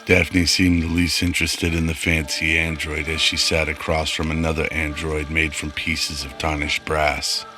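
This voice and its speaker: Very deep-voiced male narrator. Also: flat delivery, normal speed, hardly any pauses, music in the background. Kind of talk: scripted radio drama narration.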